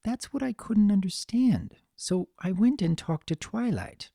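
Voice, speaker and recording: Woman voicing a high-pitched, gentle, anxious young pony. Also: very animated, a little slow, no echo, studio quality. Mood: earnest, puzzled. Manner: soft, earnest, fretful.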